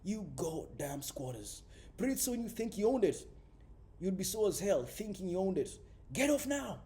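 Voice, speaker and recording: A man's voice: sits high, very animated, normal speed, warm tone, voice pushed up. A man voicing a hostile, contemptuous rural lawman in a storybook reading, blunt and folksy. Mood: angry, threatening. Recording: faint background noise.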